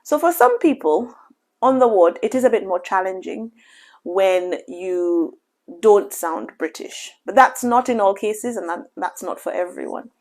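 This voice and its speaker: Measured woman giving advice. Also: mid-range voice, animated, darkish tone. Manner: measured and reassuring, often says "you know". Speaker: doctor giving career advice. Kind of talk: casual advice vlog.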